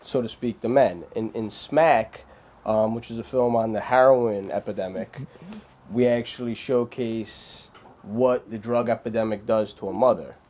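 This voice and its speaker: A man, a filmmaker guest in a casual interview, speaking in an animated, rambling way with frequent restarts. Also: lowish voice, moderate pace.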